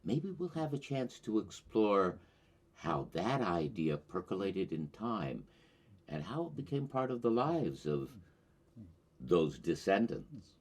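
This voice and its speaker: A man with a low voice hosts a commentary show. He speaks slowly and deliberately in rhetorical, scripted phrases. His intonation swings widely, giving key words dramatic emphasis. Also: sing-song, a little mellow, husky. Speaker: host of a climate commentary show.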